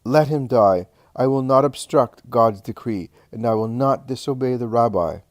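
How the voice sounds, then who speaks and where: A man's voice: medium pitch, normal speed, no echo, studio quality, lightly acted. A man voicing a fierce, defiant woman of imperious faith, commanding and thundering, in a dramatic story reading.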